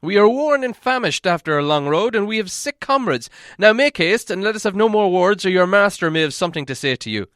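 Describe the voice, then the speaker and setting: A man's voice: high voice, expressive, a little fast, hardly any pauses, fairly bright tone, no room sound, clean studio sound, voice pushed up. A man voicing a haughty, impatient young dwarf traveller in an audiobook, urgent and brusque. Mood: impatient, demanding. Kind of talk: audiobook character acting.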